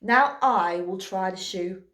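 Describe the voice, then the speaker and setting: A woman's voice: medium pitch, slow, a bit bright, roomy sound, pitched below the performer's own voice. A woman voicing a pushy, grabby ugly stepsister from a comic fairy tale, screechy and insistent.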